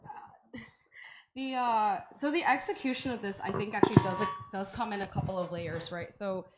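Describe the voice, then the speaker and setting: A woman's voice: medium pitch, moderate pace, over loud music, poor audio. A woman speaking on a conference panel, explaining ideas with many "right?" tags and "um" fillers, into a handheld microphone. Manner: says she has a loud voice. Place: conference stage.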